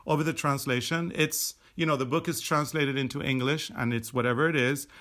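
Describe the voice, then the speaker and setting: Middle-aged Swedish-born man, native Swedish speaker, mid-pitched, casual explainer with lots of fillers. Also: fairly even intonation, brisk, a bit bright. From Sweden. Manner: chatty, explanatory, with frequent fillers and restarts. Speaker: podcast co-host. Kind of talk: casual chat while knitting. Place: indoors at a table.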